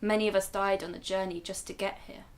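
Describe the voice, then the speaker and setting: A woman acts as a protective grandmother in a radio play. She explains things earnestly and with measured care, in a middling female pitch. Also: little pitch movement, close to an everyday voice. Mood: grave, resolute. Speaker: actor in a radio drama. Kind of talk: radio drama dialogue.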